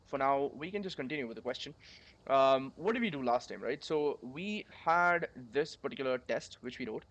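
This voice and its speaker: Young man, sore throat, rambling coding streamer, mid-pitched voice, desk mic. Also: animated, normal speed, fairly bright tone, highs cut off. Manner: rambling, chatty, many fillers. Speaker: coding livestreamer. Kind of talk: casual coding livestream.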